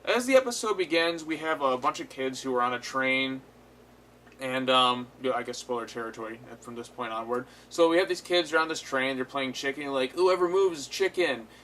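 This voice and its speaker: A man's voice: medium pitch, fairly quick, sharp tone. A man giving a casual, unscripted episode review as host, retelling it animatedly with frequent swearing. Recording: clear background noise.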